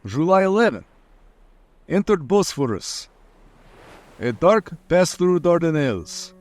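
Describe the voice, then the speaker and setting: A man's voice: a little high, sing-song, unhurried, dry recording. An actor playing a grave sea captain reading out his log in clipped phrases, in old-time radio style.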